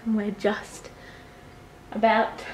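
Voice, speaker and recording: A woman's voice: medium pitch, completely flat delivery, takes it slowly, a little hiss or hum. A young Australian woman vlogging casually on her phone, chatting to viewers in a store and a car. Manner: chatty, addresses viewers as guys. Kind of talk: casual vlog to camera. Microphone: phone at arm's length.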